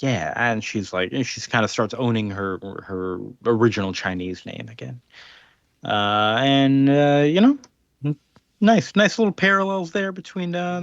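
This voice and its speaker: A man's voice: medium pitch, fairly bright tone, no echo, dull audio. A man co-hosting a podcast, recapping a story in a loose, rambling way full of fillers. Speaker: podcast co-host. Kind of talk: casual podcast chat.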